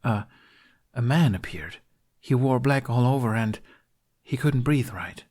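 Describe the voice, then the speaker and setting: A man's voice: medium pitch, expressive, a little slow, darkish tone, pitched above the performer's own voice. A man voicing an earnest, nervous young male apprentice recounting a vision.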